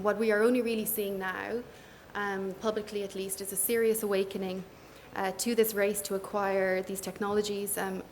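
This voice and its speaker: Soft-spoken Irish woman moderating. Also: medium pitch, slight background noise. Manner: soft-spoken, hesitant with many fillers. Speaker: panel moderator. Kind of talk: conference panel moderation. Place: conference hall.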